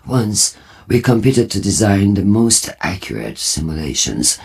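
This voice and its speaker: An actor playing a zealous, cryptic robotic war-cult leader in a video game, solemn and theatrical, with a husky, gravelly voice. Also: male voice, lowish voice, very animated, measured pace, exaggerated voice. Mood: solemn, reflective. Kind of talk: scripted video game character dialogue.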